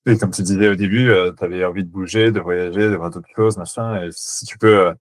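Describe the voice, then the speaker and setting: A French man hosting a casual podcast, relaxed, asking questions and nodding along with short replies. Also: sits low, a little fast, no room sound. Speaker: podcast host. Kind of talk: casual interview.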